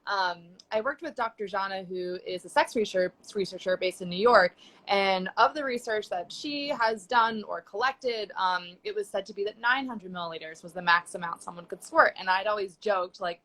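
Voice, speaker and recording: A woman's voice: mid-range voice, moderate pace, a bit bright, rough recording. A young woman chatting casually as a guest on a live video call, talking loosely with many fillers. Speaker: guest sex educator. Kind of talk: casual chat on a live stream. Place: home.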